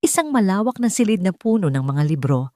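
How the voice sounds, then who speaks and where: A woman's voice: medium pitch, sing-song, brisk, dark tone, no echo, studio quality. A woman storyteller reading scripted narration for a romantic drama audio story.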